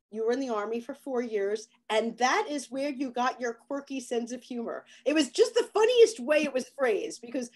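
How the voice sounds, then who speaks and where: Lively, slightly high-voiced woman host. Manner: chatty, laughs often, reacts with enthusiasm. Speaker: podcast host. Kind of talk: casual interview chat.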